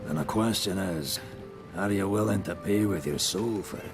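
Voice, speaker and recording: An actor playing a gruff, world-weary ship captain who speaks in a low, brooding way in a video game drama. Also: male voice, normal speed. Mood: grave, warning. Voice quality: low, gravelly. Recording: music behind the voice.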